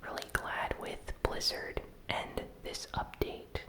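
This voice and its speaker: A host whispering a gaming news recap in a soft, unhurried ASMR style. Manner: slow, soft, unvoiced whispering.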